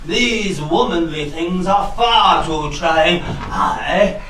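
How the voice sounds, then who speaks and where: A man's voice: on the high side, very slow, over loud music. A man playing a pompous, outraged Victorian father, declaiming in rhyme in a comic stage costume melodrama. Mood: outraged, blustering. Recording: echoey hall from far off, noisy.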